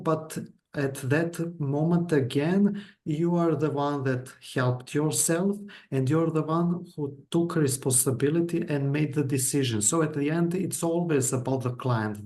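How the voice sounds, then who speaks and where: A man's voice: on the high side, a little slow, darkish tone. A man, a hypnotherapist interviewing his client in a reassuring way, with hesitant fillers. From Greece. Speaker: hypnotherapist host.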